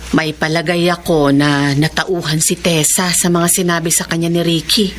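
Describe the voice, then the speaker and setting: A woman's voice: low-pitched, level tone, moderate pace, slight background noise, close to an everyday voice. A woman playing a worried, long-suffering middle-aged aunt in a drama. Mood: relieved. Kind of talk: Filipino radio-style drama acting.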